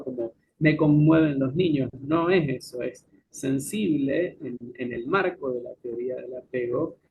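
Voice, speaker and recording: A man with a Rioplatense Spanish accent, a psychiatrist guest in an interview, explaining in a lively, teacherly way and posing questions to himself before answering them. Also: fairly high pitch, vocal fry, a little hiss or hum, rough recording.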